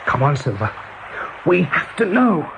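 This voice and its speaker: A man's voice: a little high, sing-song, unhurried, vocal fry, exaggerated voice. An actor in a radio play voicing a friendly, level-headed male rabbit leader in a conversational way. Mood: resolute. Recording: noisy old radio play recording.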